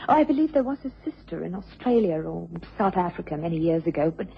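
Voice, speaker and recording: Casting: devoted, anxious wife with a secret, earnest radio mystery acting, dulled noisy recording. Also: female voice, fairly low pitch, very animated, quick pace, gravelly. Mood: guarded. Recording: muffled radio recording with background noise.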